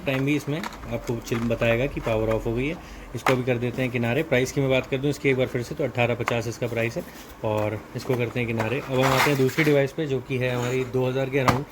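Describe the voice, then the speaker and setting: A man's voice: medium pitch, fairly even intonation, fast, noisy background. A man with an Indian accent giving a casual, explanatory product walkthrough, mixing in English technical terms. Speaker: product reviewer.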